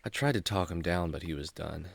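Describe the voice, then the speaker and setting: A man's voice: low voice, brisk, creaky. A man playing a friendly, earnest young adventurer in a children's radio drama, speaking like a clean-cut storyteller. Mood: somber storytelling.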